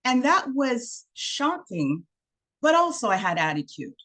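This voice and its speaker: A woman's voice: fairly high pitch, sing-song, measured pace. A woman in her fifties from Trinidad, giving an online workshop talk and telling stories with quoted lines, over a video call. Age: 50s. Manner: warm storytelling, acts out quotes. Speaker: workshop speaker.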